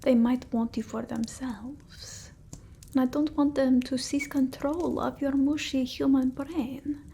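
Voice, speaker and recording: A woman's voice: high voice, fairly even intonation, a little hiss or hum. A woman voicing a fussy, condescending female vampire in ASMR roleplay, mostly whispered, close to the mic. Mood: anxious, secretive. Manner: halting, fussy, gently bossy, blunt. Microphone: close ASMR microphone.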